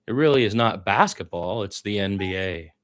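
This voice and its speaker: A man's voice: on the low side, animated, moderate pace, a bit bright. A man giving informal radio-style basketball play-by-play, with blunt, conversational and opinionated asides. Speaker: play-by-play sports commentator.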